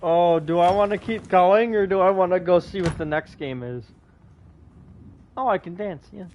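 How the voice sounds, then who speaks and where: A man's voice: high-pitched, normal speed, muffled recording, a little hiss or hum. A man giving casual gameplay commentary, reacting informally and with swearing. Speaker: video game streamer.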